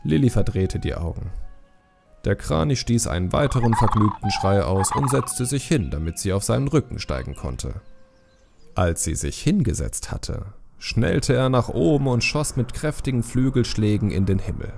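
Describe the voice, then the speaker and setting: A man's voice: mid-range voice, animated, normal speed, gravelly, darkish tone, faint music behind. A man narrating a fantasy audiobook in a scripted, dramatic storytelling style.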